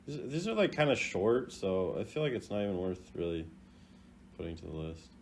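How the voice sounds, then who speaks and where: A young man with a lowish, very animated voice hosts a casual gaming reaction video. He is playful, swinging from loud exclamations down to mutters, over faint noise and keyboard clatter. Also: a little fast. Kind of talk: casual reaction commentary ranking game voice lines.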